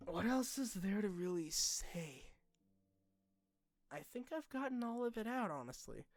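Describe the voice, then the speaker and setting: A young man talking casually and unscripted like a YouTuber to followers, candid, self-deprecating and halting, with informal phrasing. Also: high-pitched, very animated, no echo. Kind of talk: casual unscripted vlog talk.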